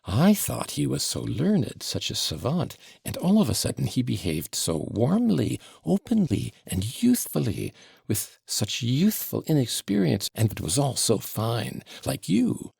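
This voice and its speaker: A man voicing a flustered, gushing middle-aged society mother who talks in breathless, excitable exclamations. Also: mid-range voice, a little slow, creaky, breathy edge, dry recording, studio quality, voice pushed up, exaggerated voice.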